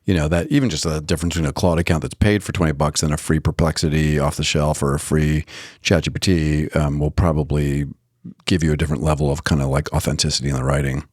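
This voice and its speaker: A man's voice: extremely low pitch, level tone, fast, darkish tone, no echo, clean studio sound. A man co-hosting a podcast, talking casually and explaining things in a conversational way. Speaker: podcast co-host.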